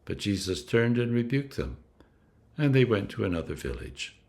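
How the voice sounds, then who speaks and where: A man reading scripture from a script as a clergyman, with a deliberate, dramatic storytelling delivery. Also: fairly low pitch, very animated, darkish tone.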